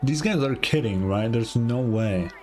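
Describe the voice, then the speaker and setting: A man's voice: mid-range voice, animated, measured pace, a little mellow, faint music behind. A man giving casual, informal live game commentary as a streamer, often incredulous and sweary.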